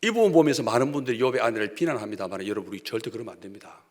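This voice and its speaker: A male pastor with a Gyeongsang accent preaches with sharp swings between loud declarations and near-whispered asides. His pitch leaps up and down as he presses each point. The sound carries a long reverb from a church sanctuary. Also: expressive, very fast. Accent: Gyeongsang Korean. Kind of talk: sermon.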